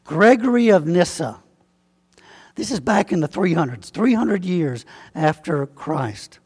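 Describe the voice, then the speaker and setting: Southern pastor preaching in a reverberant hall. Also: male voice, high-pitched, expressive, darkish tone, slightly rough. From Alabama, US. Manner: folksy, conversational preaching with slow emphatic spelling-out. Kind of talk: sermon.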